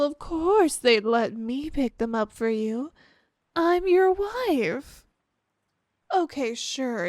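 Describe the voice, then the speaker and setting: A woman playing a sweet, possessive, obsessed wife with quiet menace, cooing and coaxing in a roleplay ASMR style. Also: high voice, no echo, clean studio sound. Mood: sweet, veiled jealousy. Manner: cooing, saccharine, coaxing, drawn-out delivery.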